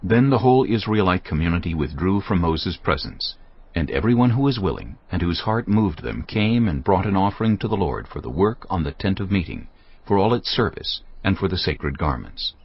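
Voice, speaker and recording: A man narrating scripture in a measured, reverent way. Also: low-pitched, sing-song, slightly rough. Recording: dull studio recording with soft music. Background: soft background music.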